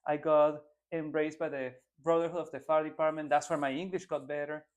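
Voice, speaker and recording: A man's voice: on the high side, flat delivery. Young man with Venezuelan accent. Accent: Venezuelan Spanish. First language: Spanish. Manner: earnest, halting with restarts. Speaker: political candidate guest. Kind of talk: casual interview answers.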